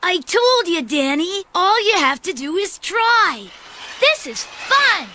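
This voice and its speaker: Upbeat bear coach, high-pitched, unhurried, kids' cartoon soundtrack with music. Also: sing-song, bright, forceful tone, a little hiss or hum, heavily acted. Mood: encouraging. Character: cheerful, encouraging, sporty cartoon bear coach. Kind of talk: animated children's cartoon acting.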